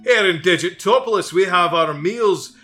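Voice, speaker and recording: A man voicing an impatient male math wizard who lectures loudly and commandingly, with faint guitar music behind. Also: high voice, moderate pace, voice pushed up.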